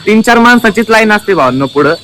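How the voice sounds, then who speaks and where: A man's voice: sits high, lively intonation, fast, bright, forceful tone. A young man chatting casually to his vlog viewers in a teasing, matey way. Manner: teasing, addresses viewers as brothers. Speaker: vlogger. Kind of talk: casual vlog chat with friends. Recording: noisy outdoor street with traffic and chatter.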